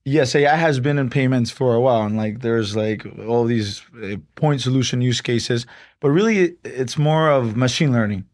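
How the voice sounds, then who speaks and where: A young man from Honduras talks as a guest on a podcast, his voice sitting at an ordinary medium pitch. He explains things step by step in a relaxed way, with plenty of "um" and "like" between his points. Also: moderate pace. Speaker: startup co-founder, guest. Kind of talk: casual podcast interview.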